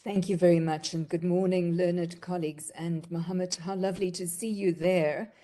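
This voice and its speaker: Warm-toned woman professor. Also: fairly low pitch, steady tone, moderate pace. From South Africa. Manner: courteous, gently probing. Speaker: thesis examiner, professor. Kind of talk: formal academic questioning.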